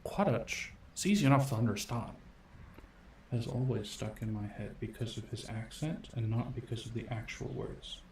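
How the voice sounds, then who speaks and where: A man hosting a casual ASMR stream from home, chatting softly and laughing often. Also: medium pitch, moderate pace, echoey room, slight background noise, rough recording. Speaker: ASMR host. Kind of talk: casual live-stream chat. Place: home room. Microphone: multiple sensitive ASMR mics.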